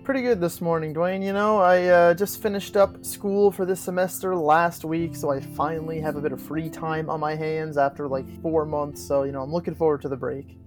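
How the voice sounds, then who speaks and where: A man's voice: on the high side, steady tone, normal speed, few pauses, over music. A young man speaking casually as the co-host of a podcast. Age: young adult.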